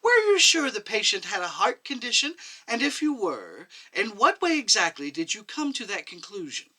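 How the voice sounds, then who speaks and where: A man's voice: high-pitched, wildly swooping pitch, normal speed, pushed and bright, pitched above the performer's own voice, exaggerated voice. A man voicing an indignant young doctor who is exacting, emphatic and accusing, in a short story reading. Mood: probing, incredulous.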